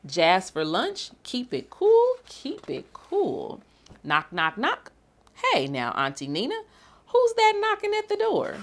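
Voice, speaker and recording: A woman reading a children's picture book aloud with a rhythmic, bouncy, expressive delivery. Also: on the low side. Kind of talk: animated storybook read-aloud.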